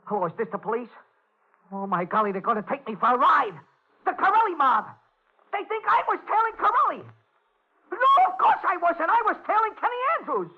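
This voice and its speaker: A man's voice: high voice, fast talker. An actor playing a nervous, stammering, hapless American man mixed up with gangsters in an old-time radio comedy. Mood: panicked, pleading. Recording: muffled old radio recording with hiss.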